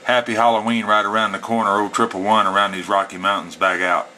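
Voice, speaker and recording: A man's voice: on the low side, little pitch movement, fast talker, very noisy. An American man with a folksy, plain-spoken way, talking casually as a CB radio hobbyist. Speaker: amateur CB radio operator. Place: indoors at a home radio setup.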